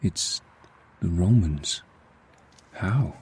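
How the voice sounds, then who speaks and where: An actor playing a cocky, hot-headed district attorney in a comic-book audio drama, husky, sardonic and impatient. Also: male voice, sits low, lively intonation, very slow, a little mellow, full character voice. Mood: guarded.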